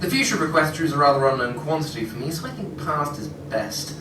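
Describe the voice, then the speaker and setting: A woman playing a polite, curious time-travelling pony doctor in an audio drama, speaking in an animated way. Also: low voice, quick pace, distant mic, noisy background. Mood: curious, chatty. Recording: echoey playback in a room, music.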